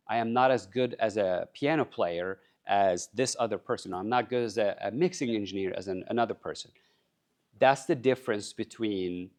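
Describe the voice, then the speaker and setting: Guy giving a conference talk, lowish voice, earnest, keeps asking the crowd stuff. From Egypt. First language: Arabic. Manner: earnest, addresses the crowd with questions. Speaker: conference speaker. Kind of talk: motivational talk to a live audience. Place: conference stage.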